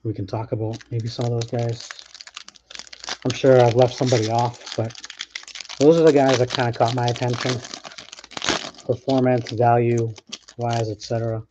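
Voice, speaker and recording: A man's voice: medium pitch, flat delivery, normal speed, pauses often, lo-fi sound, noisy background. A man hosting a sports card break, talking casually and thinking aloud as he opens packs. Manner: chatty, offhand, thinks aloud with restarts. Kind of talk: casual live commentary while opening packs.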